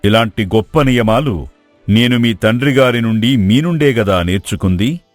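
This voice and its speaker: A man playing a humble, troubled king in a storybook drama, speaking formally, respectfully and deliberately. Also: mid-range voice, expressive, no echo, faint music behind, natural voice.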